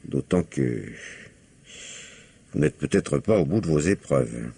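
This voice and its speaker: An actor playing a probing, courteous police commissioner in a French radio mystery drama. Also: male voice, a bass voice, lively intonation, slow, vocal fry, dark tone, husky, full character voice. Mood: politely insistent.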